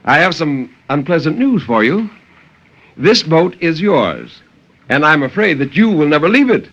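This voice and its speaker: A man's voice: on the high side, big pitch swings, a little slow, a bit bright, heavily acted. Muffled old-radio sea captain played by a man, dramatic. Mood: coolly polite. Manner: theatrical, intense, formal diction. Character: sea captain recounting his ordeal, stern and dramatic. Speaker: storyteller. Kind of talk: 1950s dramatic horror radio narration. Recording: dull, lightly noisy 1950s radio recording.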